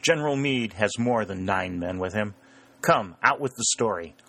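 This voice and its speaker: A man voicing a brash young rebel soldier in an audiobook, sharp, commanding and wry. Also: lowish voice, animated, normal speed. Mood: sharp, insistent. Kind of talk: audiobook character voice.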